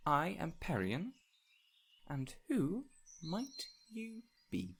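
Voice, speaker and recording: A man playing a peculiar, eager fey man in an audio roleplay, courteous and theatrical, with flowery, excitable phrasing. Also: on the high side, drawn-out words, vocal fry, breathy edge, full character voice. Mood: apologetic, eager. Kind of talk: immersive audio roleplay addressed to the listener.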